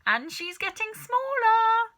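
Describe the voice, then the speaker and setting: A gleeful, grinning husband from a children's storybook, put on by a woman reader. He sounds mischievous and smugly amused, with a bright, forceful delivery. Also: very high-pitched, sing-song, slow pace, voice pushed up, heavily acted. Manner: amused, pleased with himself.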